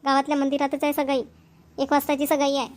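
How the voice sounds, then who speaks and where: A woman voicing a busy village mother in an amateur cartoon comedy, speaking homely, repetitive Vidarbha Marathi in a hurried manner. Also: sits high, monotone, close to an everyday voice. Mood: brisk, insistent. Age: middle-aged. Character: busy village mother rushing to invite neighbours to engagement.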